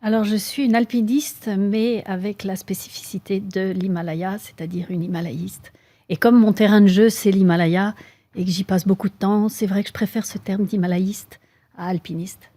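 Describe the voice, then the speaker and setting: A middle-aged woman from Switzerland with a Swiss French accent, answering interview questions thoughtfully, with frequent pauses and fillers. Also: mid-range voice, steady tone, warm tone, no room sound. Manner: thoughtful, hesitant with many fillers. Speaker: guest, mountaineer.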